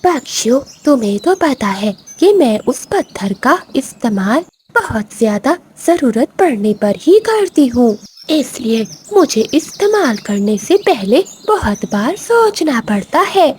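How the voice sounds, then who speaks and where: A woman plays a commanding eagle queen in a cartoon moral tale. Her voice is high and swings widely as she speaks firmly but with care for her birds. Faint chirping and noise sit behind her. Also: sing-song, measured pace, darkish tone. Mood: grave, firm. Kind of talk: animated moral-story cartoon dub.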